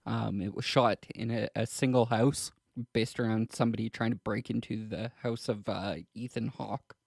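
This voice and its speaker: A man co-hosting a podcast in casual discussion, speaking hesitantly in halting, trailing clauses. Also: on the low side, steady tone, gravelly, a little mellow, dry recording, clean studio sound. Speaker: podcast co-host.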